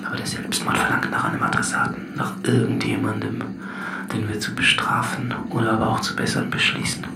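An actor playing a solitary, sardonic and melancholy last man on earth in a reflective, measured radio drama monologue. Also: fairly low pitch, animated, gravelly, reverberant, music in the background. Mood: brooding.

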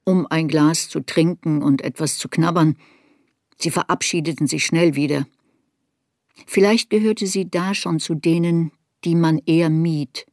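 An actress playing a reflective woman writer in a radio play, recalling her girlhood in a sober, deliberate, introspective way. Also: low-pitched, fairly even intonation, a little mellow, dry recording, studio quality. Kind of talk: literary radio play narration.

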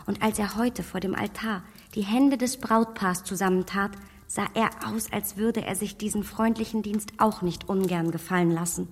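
A woman playing a self-assured young gentlewoman in a radio play, confident and playful as a meddling matchmaker. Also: medium pitch, fairly even intonation, normal speed, husky, long reverb tail. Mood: eager, scheming.

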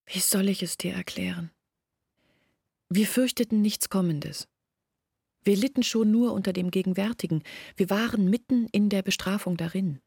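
An actress playing a sorrowful young village woman in a radio play, speaking in a hushed, deliberate, brooding way. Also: medium pitch, vocal fry, slightly rough, dry recording, studio quality. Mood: grave, explaining. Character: sorrowful young village woman recounting her family's disgrace. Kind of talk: radio play monologue, intimate recounting.

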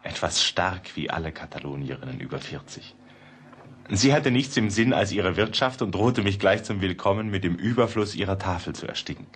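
A cultured gent reading out his letter, real low voice, reflective, old radio play with slight hiss. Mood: wry, observant. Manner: measured, reflective, expressive. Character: cultured gentleman narrator recounting a letter, reflective. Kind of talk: literary radio play narration.

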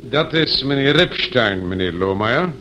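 An actor playing a paternal, officious police inspector who repeats himself, in a noisy old radio play recording. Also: male voice, medium pitch, animated, moderate pace, close to an everyday voice. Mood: paternal, warning.

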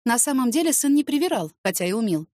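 Woman narrating a romance audiobook, highish lively voice, dry sound. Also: fast talker, dry recording. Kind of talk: expressive first-person fiction narration.

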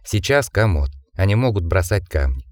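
A man voicing a calm, steady young man who speaks in a level way. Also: deep voice, moderate pace. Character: calm steady boy, Jack.

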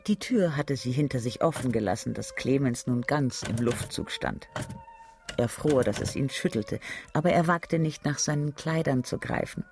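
A man's voice: mid-range voice, moderate pace, vocal fry, background noise. A man narrating a literary radio drama with a vivid storytelling delivery.

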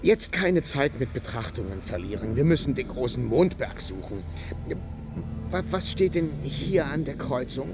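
An actor playing a brisk, bossy but kindly sandman with a commanding, theatrical way of talking in a children's radio play. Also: mid-range voice, very animated, over music. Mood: brisk, urging.

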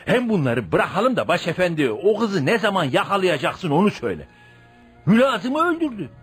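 Casting: pompous, fearful landlord tyrant for radio play, very animated, raspy. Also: male voice, high voice, moderate pace, heavily acted. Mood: anxious indignant. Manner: theatrical, blustering, hesitant when cornered. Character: blustering, tyrannical rural landlord, scared and evasive. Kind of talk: Turkish radio play acting. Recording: faint music behind radio drama.

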